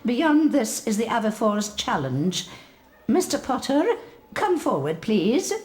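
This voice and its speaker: A strict British witch teacher from a video game. She is precise and authoritative, speaking slowly in a raised, lively-pitched voice. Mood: instructive, formal. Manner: formal, precise, stern. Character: strict, brisk female witch professor, authoritative.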